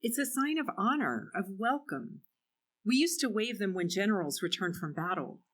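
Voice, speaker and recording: A woman playing a hopeful, faithful townswoman who answers gently and reassuringly in an amateur church dramatic reading. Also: medium pitch, sing-song, normal speed, a little hiss or hum.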